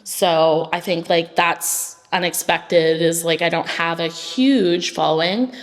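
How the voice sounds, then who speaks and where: A woman's voice: lowish voice, fairly even intonation, takes it slowly, long reverb tail, soft background music. A woman founder speaking on a panel in a casual way, often saying "like". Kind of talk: panel discussion talk.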